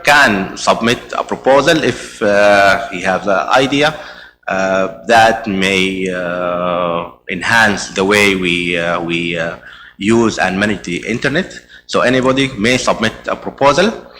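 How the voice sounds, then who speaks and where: Brief: Arabic-speaking man chairing a meeting, hesitant, lower voice, faint noise behind. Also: bright, forceful tone. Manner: hesitant, many "uh" fillers and restarts. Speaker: meeting chair presenting the agenda. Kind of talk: conference presentation. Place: conference hall.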